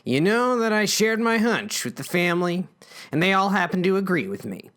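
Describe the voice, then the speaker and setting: A man's voice: high-pitched, sing-song, moderate pace, a bit bright. A man voicing a smug, menacing older brother in a comic story, theatrical and conspiratorial. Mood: smug, accusing. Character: menacing, smug bully older brother telling dark hunches.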